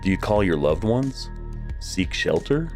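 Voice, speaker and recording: A male podcast host tells a tense story in a dramatic, suspenseful way. His voice sits extremely low, with a quiet music bed underneath. Then he turns to interview his guest. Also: big pitch swings, measured pace. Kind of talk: scripted narration intro, then interview.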